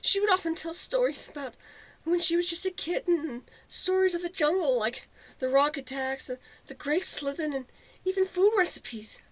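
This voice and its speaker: A woman plays a young female cat from a fantasy crew, grieving and earnest. Her voice is extremely high and recorded very dry, with a slightly halting delivery, in a storybook dramatic reading. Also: a bit bright, no room sound, rough recording. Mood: mournful, fond. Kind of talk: dramatic reading of fan fiction.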